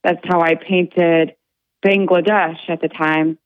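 A woman being interviewed as an artist on the radio, talking in a halting way with many fillers and restarts. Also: lowish voice, fairly even intonation, no echo. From United States. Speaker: guest artist. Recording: dry, phone-like line.

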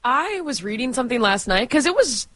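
A woman's voice: fairly high pitch, sing-song, a little fast, fairly bright tone, no room sound, studio quality. A woman co-hosting a radio show in casual, informal chat, peppering her sentences with filler words like "like". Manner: informal, frequent use of "like".

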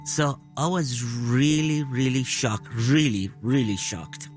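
An older man from Iran, speaking earnestly in an interview with slightly halting phrasing. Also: mid-range voice. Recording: faint music bed.

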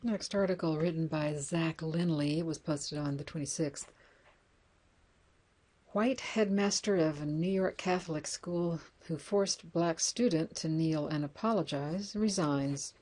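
A woman with a slightly low voice reads news stories and book passages aloud. Her delivery is steady and deliberate, and she corrects her own small slips as she goes. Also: poor audio. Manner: measured reading with small self-corrections. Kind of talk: reading excerpts and news articles aloud.

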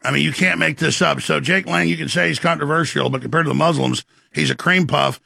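A man's voice: a little high, a little fast, creaky, bright, forceful tone, husky, no room sound, studio quality. A man hosting a political commentary show, ranting in a heated, sarcastic way. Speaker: host.

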